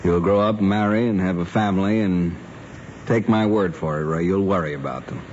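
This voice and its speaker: Casting: man as middle-aged American banker dad, low voice, formal and paternal, dull old radio recording. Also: moderate pace, a little mellow, a little hiss or hum, lightly acted. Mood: grave, paternal. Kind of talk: 1950s radio drama acting.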